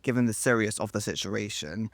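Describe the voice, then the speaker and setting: A young man playing a cautious, reassuring science professor giving a hesitant, measured interview in a radio drama. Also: fairly low pitch, heavy background noise, lightly acted. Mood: guarded, reassuring. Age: young adult.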